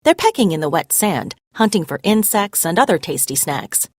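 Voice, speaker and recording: A woman narrating a scripted story as a read-aloud, expressive and clearly phrased. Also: lowish voice, moderate pace, no echo, studio quality.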